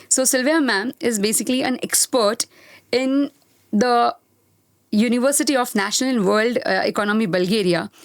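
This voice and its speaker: A woman from India hosting a radio interview, talking briskly and switching between English and Hindi. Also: mid-range voice, no room sound, clean studio sound. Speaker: host, professor. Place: studio.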